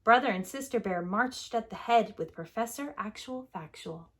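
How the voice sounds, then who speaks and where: A woman teacher reading a picture book aloud to young children in an expressive, clearly phrased storytelling manner. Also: a little high, normal speed.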